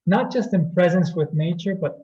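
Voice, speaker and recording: A man from Colombia co-hosts a webinar with real enthusiasm. His voice is fairly high and mellow, and he often repeats words as he goes. The call audio sounds dull and lo-fi. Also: moderate pace. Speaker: retreat co-host. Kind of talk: presenting a webinar.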